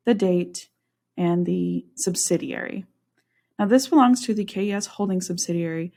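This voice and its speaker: A woman presenting a software walkthrough at a medium pitch. She explains things step by step in an instructional manner, as in a screen-share tutorial. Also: vocal fry. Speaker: software demonstrator.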